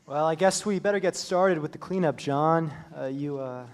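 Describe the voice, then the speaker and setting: A young man playing a mild-mannered school dean who speaks hesitantly, with pauses. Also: fairly high pitch, close to an everyday voice. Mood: earnest. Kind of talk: amateur staged-reading acting.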